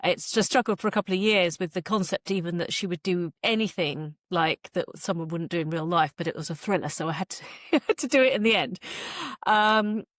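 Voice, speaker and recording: A woman with a slightly low voice speaks as a guest author in a casual interview. She feels her way through her thoughts, often interrupting herself. The sound is very dry, with hardly any room in it. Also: brisk, no echo.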